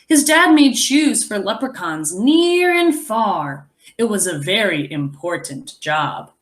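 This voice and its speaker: A woman reading a picture book aloud as a teacher, expressively, pausing to explain and think aloud for young children. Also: medium pitch, sing-song.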